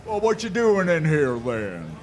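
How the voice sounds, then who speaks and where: A man's voice: a little high, wildly swooping pitch, measured pace, soft background music. A man playing a taunting, menacing bushwhacker in a western radio drama, with a sly drawl. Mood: jeering. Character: mocking, menacing male western bushwhacker and bully. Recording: live stage with audience noise.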